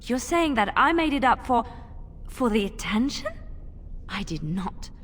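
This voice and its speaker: An actress voicing a devout young religious sister, earnest and defensive, in a fantasy game. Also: female voice, a little high, sing-song, a little slow. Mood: indignant. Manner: indignant, earnest. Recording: reverberant hall with faint noise.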